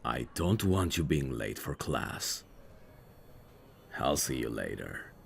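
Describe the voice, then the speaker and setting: A man playing a suave, teasing mafia boss in an ASMR audio roleplay, flirtatious with a faint edge of menace and an unhurried manner. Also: a bass voice, sing-song, gravelly, a little hiss or hum, exaggerated voice. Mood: smooth, casual.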